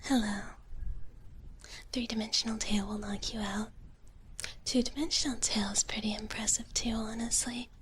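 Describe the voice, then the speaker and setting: A woman hosting an ASMR livestream in a soft, breathy whisper, with a playful manner of greeting and chatting. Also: on the high side, level tone, normal speed, pauses often, slight background noise. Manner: playful, refers to self in third person. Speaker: livestream host, virtual streamer.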